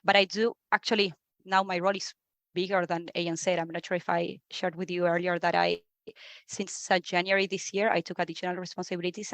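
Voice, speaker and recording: A woman's voice: on the low side, dry recording. Argentine woman, chatty and dry-sounding. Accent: Argentine Spanish. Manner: chatty, repeats words, laughs easily. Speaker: guest, HR leader. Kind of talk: conversational interview.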